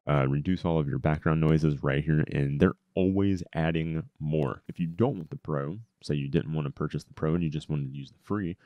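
A man giving a casual, upbeat software review into a close microphone. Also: extremely low pitch, brisk, few pauses, no room sound, dull audio. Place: indoor home streaming setup. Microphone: close boom-arm microphone.

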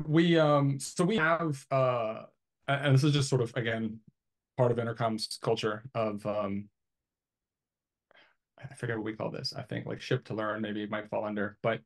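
A man's voice: medium pitch, fairly even intonation, moderate pace. A man in his thirties explaining things casually in an interview, thinking aloud in a conversational way, on a video call from a small room. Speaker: interviewee, IT engineer. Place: small home office, indoors.

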